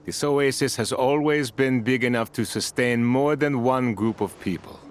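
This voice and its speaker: An actor playing a kind-hearted oasis chief in a video game, speaking with unhurried, peaceable authority. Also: male voice, medium pitch, a little hiss or hum, natural voice. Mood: calm, firm. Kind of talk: scripted video game acting. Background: music.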